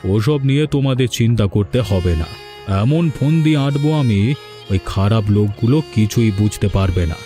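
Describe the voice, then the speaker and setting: Man playing an assured city businessman, mellow tone, soft music under, cartoon. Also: fairly low pitch, normal speed, close to an everyday voice. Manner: calm, assured, instructing. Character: clever kindly rich businessman from the city. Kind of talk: cartoon voice acting.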